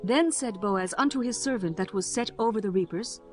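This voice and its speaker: A woman's voice: medium pitch, fairly quick, darkish tone, faint music behind. A woman narrator reading a scripted scripture audiobook.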